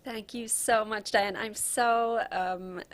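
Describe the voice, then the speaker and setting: A woman's voice: on the high side, fairly even intonation, slow pace, dry recording. A middle-aged woman with a South African accent, telling her personal story as an interview guest in an earnest, reflective way. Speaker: guest, relationship coach.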